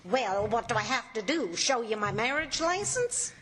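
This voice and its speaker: A woman's voice: a little high, sing-song, moderate pace, a bit bright. A woman playing a teasing, affectionate middle-aged wife in an old-time radio comedy. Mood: wry, teasing.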